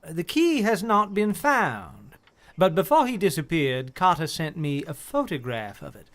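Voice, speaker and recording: Radio drama: thoughtful male storyteller, formal, measured, highish pitch. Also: sing-song, fairly bright tone. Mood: earnest, intrigued. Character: earnest, thoughtful gentleman recounting an occult mystery.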